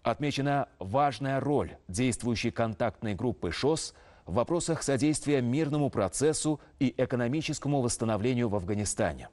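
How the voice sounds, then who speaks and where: A man in his thirties reading scripted news as a studio anchor, in a measured, official manner. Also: medium pitch, lively intonation, a little mellow.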